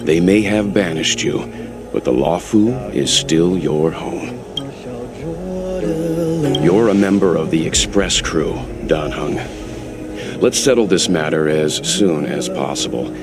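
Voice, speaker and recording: An actor playing a mature, reassuring mentor in a video game, earnest, supportive and measured. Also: male voice, a bass voice, animated, heavily acted. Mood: reassuring, resolute. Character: steady, reassuring mature male mentor and traveler. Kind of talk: scripted video game dialogue. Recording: loud music under voice.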